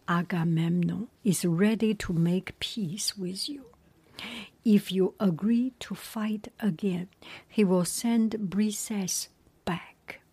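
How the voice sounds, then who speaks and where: A shrewd middle-aged Greek king lays out his offer, his words whispered slowly by a woman reading aloud. The breathy hush is dry and close to the mic. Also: medium pitch, no room sound, heavily acted.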